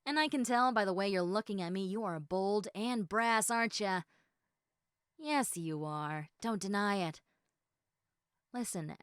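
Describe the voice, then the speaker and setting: A woman's voice: mid-range voice, smooth, dry recording, clean studio sound, lightly acted. A woman playing a bubbly, talkative, eager young woman in a one-sided roleplay, chatting emphatically and playfully straight to the listener. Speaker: audio roleplay performer.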